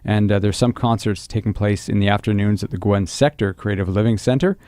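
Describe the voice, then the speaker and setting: A man's voice: fairly low pitch, steady tone, fairly quick, warm tone, no echo. A Canadian man hosting a casual radio interview, asking questions conversationally. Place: radio studio.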